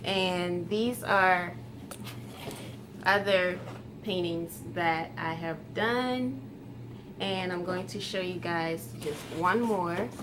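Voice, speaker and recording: A woman's voice: lowish voice, very slow, noisy background. A woman in her twenties from Ghana, whose first language is Twi, chatting to her viewers in a friendly, casual way. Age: 20s. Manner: friendly, chatty, light laughs. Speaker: YouTuber. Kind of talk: casual talk to camera.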